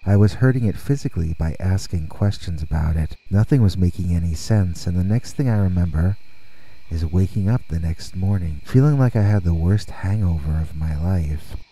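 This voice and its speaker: A man narrating a scripted first-person horror story in a deliberate way, with dramatic pauses. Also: deep voice, warm tone, low-quality recording. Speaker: narrator reading scary stories.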